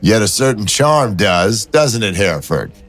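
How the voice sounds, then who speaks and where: Casting: male actor as wry audio-drama detective, low register, cool teasing banter. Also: big pitch swings, unhurried, a bit bright, soft background music.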